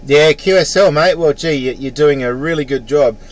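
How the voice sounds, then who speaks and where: A man's voice: on the high side, moderate pace. A middle-aged Australian man in a casual ham radio chat, matey in his phrasing and practised with phonetic call signs. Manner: mate-peppered, spells call signs phonetically. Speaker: amateur radio operator.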